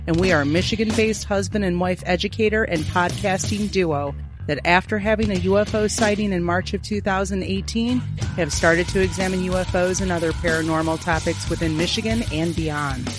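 Raspy, hoarse woman podcaster. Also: lowish voice, brisk, music in the background. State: hoarse from a sinus infection. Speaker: podcast co-host. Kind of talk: casual podcast chat.

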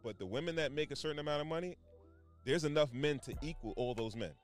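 A man's voice: fairly high pitch, fast talker. Blunt, profane man pushing back. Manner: blunt, profane, dismissive pushback. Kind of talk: casual podcast debate.

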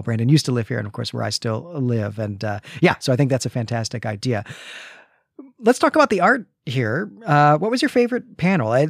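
A man's voice: medium pitch, gravelly, dry recording, clean studio sound. A middle-aged man co-hosting a podcast with casual, enthusiastic commentary, often checking in with a tagged-on question. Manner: frequent "right?" tags, enthusiastic. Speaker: podcast co-host. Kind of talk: casual conversational commentary on comics.

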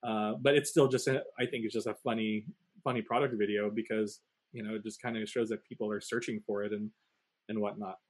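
A man's voice: fairly low pitch, steady tone, fairly quick, husky. A man co-hosting a casual talk show, speaking hesitantly with many fillers. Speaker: podcast co-host. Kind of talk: casual chat.

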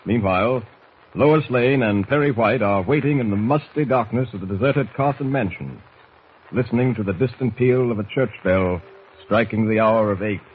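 A man's voice: fairly low pitch, moderate pace. A man narrating a 1940s radio serial as announcer, declamatory and full of suspense. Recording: muffled, hissy vintage radio recording.

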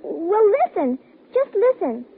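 An earnest young American girl in a 1950s radio play, desperate to be believed. Her voice is extremely high, and the recording is thin, tinny and heavy with noise. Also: big pitch swings, takes it slowly, exaggerated voice. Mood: eager. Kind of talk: 1950s radio drama acting.

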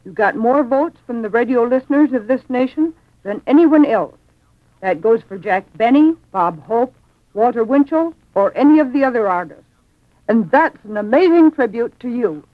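A woman's voice: a little high, animated, normal speed, dry recording. A woman giving a scripted, formal presentation as a guest on old-time radio. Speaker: magazine editor, guest presenter. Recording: thin, phone-like old radio sound.

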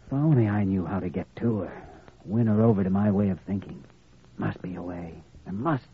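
An actor playing a determined, compassionate young doctor, firm and earnest, in 1940s radio drama style. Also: male voice, deep voice, a little fast, slight background noise. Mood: earnest, troubled. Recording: vintage radio recording, narrow band.

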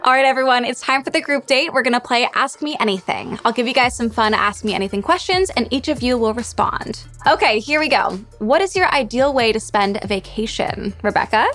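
A woman hosting a podcast, bubbly and quick to laugh. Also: fairly high pitch, lively intonation, a bit bright, soft background music. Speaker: podcast host. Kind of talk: upbeat hosting.

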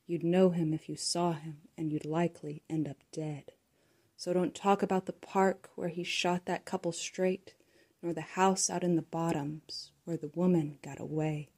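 A woman from Texas reads her own rhyming poem aloud, keeping it hushed and conspiratorial and now and then slipping into a whisper. Her voice is low and mellow. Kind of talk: rhythmic poetry reading.

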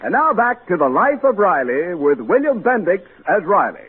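An American man announcing on 1940s radio in formal, measured scripted narration, on a tinny old recording. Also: high-pitched, big pitch swings, loud music behind the voice.

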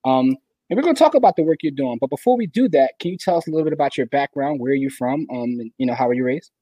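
A man's voice: mid-range voice, animated, rapid-fire, mellow, dry recording, low-quality recording. A man hosting a podcast interview, chatty and casual, filling his talk with "like" and "you know". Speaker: podcast host.

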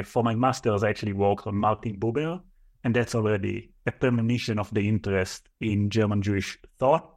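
A man's voice: lowish voice, darkish tone. A man in his thirties with an Israeli accent, a guest scholar answering interview questions thoughtfully, with many fillers. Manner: thoughtful, listing at length with fillers.

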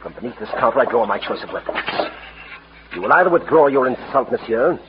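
An actor playing a smug, cold-blooded outlaw who poses as a cultured French gentleman, suave and pompous, in an old radio western. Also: mid-range voice, expressive, fast, close to an everyday voice. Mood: haughty, menacing. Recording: muffled, hissy old radio recording.

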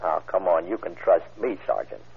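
Tough, sly male hold-up man, slangy and curt, very low husky voice, vintage radio crime drama. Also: very animated, moderate pace, exaggerated voice. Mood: wheedling, sly. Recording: tinny, muffled old radio recording.

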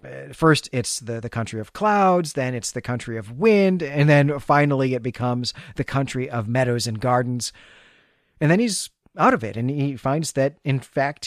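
A man with an average-pitched voice gives a story recap as a podcast co-host. He is informal and conversational, adjusting his wording as he goes. The recording is dry, without audible room reflections. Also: dry recording. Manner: informal, self-correcting.

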